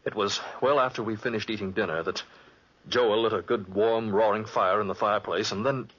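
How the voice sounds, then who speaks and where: An anxious, earnest hunter in a vintage radio thriller. The voice sits a little low and husky, with halting, stammered phrasing. The recording is muffled 1940s radio. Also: male voice, fairly even intonation, fairly bright tone. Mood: agitated, earnest. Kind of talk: 1940s radio drama acting.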